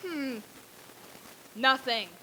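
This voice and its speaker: A woman acts out a miserly old man of business for a radio play. The voice is high and piercingly bright. Every phrase is clipped, emphatic and full of cold scorn. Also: drawn-out words, heavily acted, rough recording. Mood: cold, sardonic. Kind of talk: old-time radio play stage reading.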